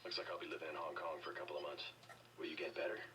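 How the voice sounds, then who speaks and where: An actor playing a stoic, terse, deadpan male government agent in a video game, on a noisy distant capture. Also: extremely low pitch, rapid-fire.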